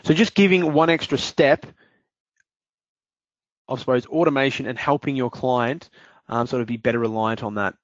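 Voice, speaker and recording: Man presenting a software demo. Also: mid-range voice, moderate pace, pauses often, no echo, muffled recording. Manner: explanatory, frequent fillers. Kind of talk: webinar product demonstration.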